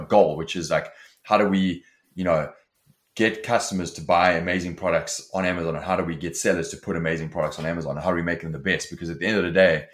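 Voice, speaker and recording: A man in his forties with a South African accent, a company founder talking casually as an interview guest over a video call, with many restarts and fillers. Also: sits low. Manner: many restarts and fillers, fluent and forward-driving. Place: home office with shelves.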